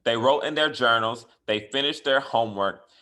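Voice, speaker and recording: A man reading a picture book aloud to children in an expressive way, with deliberate, emphatic phrasing and dramatic stresses. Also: medium pitch. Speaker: school educator reading a bedtime story.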